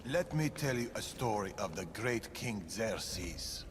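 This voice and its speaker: A man's voice: fairly low pitch, lively intonation, takes it slowly, a little hiss or hum. An actor playing a wary, secretive older Persian father and fighter in a video game, guarded and solemn. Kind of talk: dramatic video game acting. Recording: background music under the voice.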